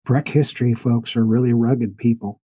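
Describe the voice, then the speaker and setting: Husky, mellow male podcast host. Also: medium pitch, steady tone, normal speed. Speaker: podcast host interviewing guests. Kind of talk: conversational interview.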